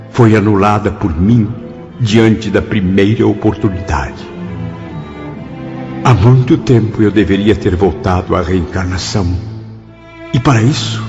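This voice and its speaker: Earnest solemn brazilian spirit man in a radio play, formal wording, dull audio with music behind. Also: low-pitched. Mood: solemn, reflective. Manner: formal, solemn, expressive diction. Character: Camilo, grateful earnest male spirit, reflective and courteous. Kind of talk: radio drama acting and first-person narration.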